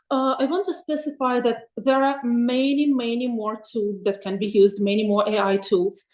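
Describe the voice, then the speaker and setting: A woman presents AI tools for teachers in a webinar, thinking aloud with many ums and pauses. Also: a little high, a little mellow, roomy sound. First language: Russian. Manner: thoughtful, many ums and uhs, asks for next slide. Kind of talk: presenting slides in an online webinar.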